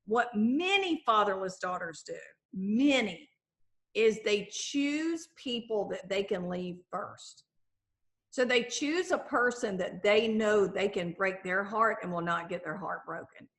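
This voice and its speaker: Bright, animated woman author. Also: medium pitch, slow. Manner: animated, acts out quoted voices. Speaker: author and guest. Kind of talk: conversational interview.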